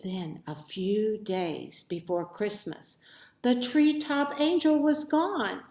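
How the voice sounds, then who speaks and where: A woman reading a children's story aloud with expressive, deliberate phrasing in a roomy, echoey recording. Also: medium pitch. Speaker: storybook reader.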